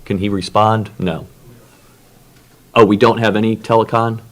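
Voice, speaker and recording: A man's voice: fairly low pitch, fairly even intonation, normal speed. A man chairing a technical workshop in a conference room, opening the session with many fillers and restarts. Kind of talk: opening remarks at a technical meeting.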